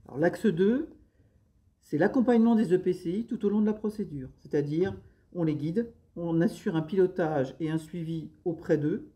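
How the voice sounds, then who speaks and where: A woman's voice: lowish voice, normal speed, warm tone. A woman explaining her public service work in interview answers, with formal administrative phrasing.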